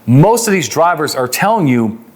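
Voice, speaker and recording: A man's voice: fairly high pitch, very animated, moderate pace. A man with an American accent giving an explanatory legal lecture in an emphatic, conversational way, often posing questions and answering them as an instructor.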